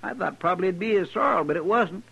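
An actor playing an earnest, simple cowboy sidekick in a 1950s radio western, with a folksy rural drawl. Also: male voice, high voice, expressive, quick pace, creaky, slightly rough, exaggerated voice. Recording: dull vintage radio recording.

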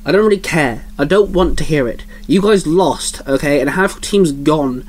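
Curt, scornful young antihero, read by a man, fairly high voice. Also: lively intonation, moderate pace, darkish tone. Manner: snappy, dismissive.

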